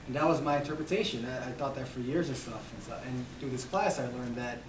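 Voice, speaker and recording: A man in the audience telling a story, quick and slightly halting. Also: medium pitch. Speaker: audience member. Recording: echoey room, distant mic, clear noise.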